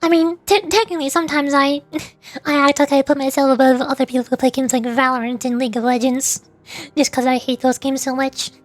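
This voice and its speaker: A woman's voice: high-pitched, steady tone, fairly quick, no echo. A woman chatting casually on a livestream as a VTuber game streamer, in a giggly, rambling way. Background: faint music.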